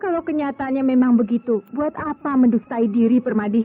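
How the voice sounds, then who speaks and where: An actress playing a proud, cool and stubborn doctor wife quarrelling in an Indonesian radio drama. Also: female voice, high voice, fairly quick. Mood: exasperated, cutting. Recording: tinny old radio drama, music behind.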